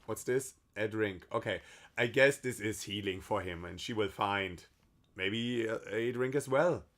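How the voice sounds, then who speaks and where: A hoarse man casually commenting while playing a game on stream, rambling loosely and swearing now and then. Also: lowish voice, a little slow, fairly bright tone. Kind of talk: casual let's-play commentary.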